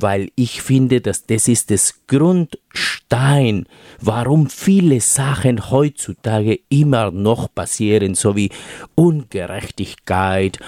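Slow, mellow Peruvian-accented man. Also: fairly low pitch, dry recording, studio quality. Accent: Spanish-speaking Peruvian. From Peru. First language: Spanish. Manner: hesitant, many fillers, careful non-native phrasing. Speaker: guest. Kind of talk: radio interview.

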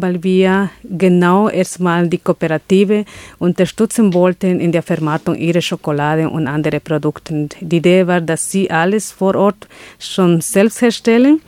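A woman with an Ecuadorian Spanish accent and Quechua as her first language speaks in a somewhat low voice during an interview. She hunts for words, starting sentences over and filling pauses. The recording is dry and close. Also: a little mellow, dry recording. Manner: searching for words, frequent restarts and fillers. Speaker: guest, chocolate business founder. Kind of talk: interview answers.

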